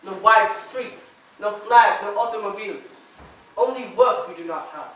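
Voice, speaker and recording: A man's voice: high-pitched, a bit bright. A man playing an earnest young immigrant. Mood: furious. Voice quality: halting immigrant English, measured phrasing. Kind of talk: stage play acting. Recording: echoey room, voice from far off.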